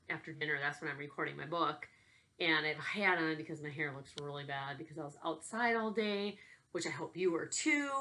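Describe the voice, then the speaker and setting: Female, 40s, US, slightly low register. Chatty, expressive elementary teacher for casual kids' read-aloud videos. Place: small bedroom at home, indoors. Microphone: laptop webcam at desk distance.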